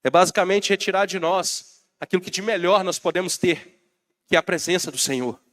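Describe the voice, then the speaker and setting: A man's voice: sits high, a little fast, fairly bright tone. A Brazilian man preaching a sermon as pastor, rousing and calling out to his congregation, while he has a bad cold. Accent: Brazilian Portuguese.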